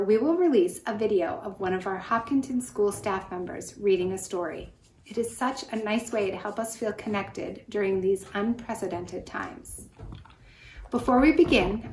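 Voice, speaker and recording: A woman's voice: medium pitch, darkish tone. Woman announcer in an echoey, noisy recording. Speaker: parent-teacher organization announcer. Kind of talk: scripted announcement. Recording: roomy echo with clear background noise.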